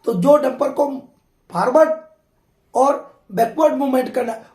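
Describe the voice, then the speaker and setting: A hoarse man, a mining exam tutor talking through old test questions in a conversational way and repeating key points. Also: very high voice, measured pace, a little mellow, echoey room. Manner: conversational teacher, addresses students fondly, repeats key points. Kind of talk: explaining exam questions in an online class.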